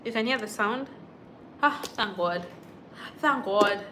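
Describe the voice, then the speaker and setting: A young woman chats casually into her phone on a livestream, replying to viewers' comments as they come in. Her voice sits at a middle pitch, with a faint hum of room noise behind her. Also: takes it slowly, pushed and bright. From Kenya. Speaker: YouTube vlogger on a livestream.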